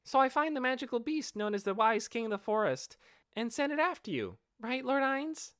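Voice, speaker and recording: Man putting on a high, perky elf girl. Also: sing-song, normal speed, a bit bright, clean tone, no room sound, clean studio sound, heavily acted. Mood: eager, helpful. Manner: perky, deferential to her master. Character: young dark elf girl, eager loyal beast tamer. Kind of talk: audiobook character voice.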